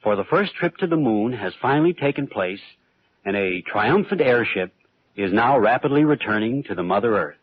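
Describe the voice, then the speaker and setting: A man's voice: on the low side, expressive, moderate pace, slightly rough, no echo. A man narrating the introduction to a radio play in a formal, dramatic, old-time radio style. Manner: formal, portentous. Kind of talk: dramatic scripted 1940s-50s radio narration. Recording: dull, dry old radio recording.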